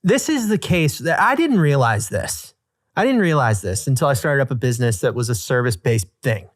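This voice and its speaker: A man's voice: medium pitch, big pitch swings, brisk, dry recording. A man with a mid-range voice co-hosts a casual podcast. He speaks emphatically and informally, repeating phrases for punch and throwing in mild slang. The sound is dry, with very little room on it. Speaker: podcast co-host. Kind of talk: casual podcast banter and commentary.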